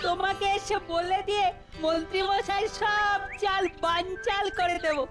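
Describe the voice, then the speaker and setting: An eager, coaxing young cartoon boy, as a woman voices him, with a very high, piping voice. Music plays behind him. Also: fairly even intonation, a little slow. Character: eager young boy, one of the jester's kid helpers. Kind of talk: cartoon voice acting. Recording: studio dub with background music.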